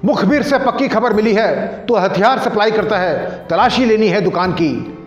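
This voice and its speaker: A man's voice: high voice, moderate pace, faint music behind, pitched above the performer's own voice, close to an everyday voice. A man voicing an aggressive police constable in a story, accusing and threatening. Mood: officious. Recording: roomy echo, dull lo-fi sound.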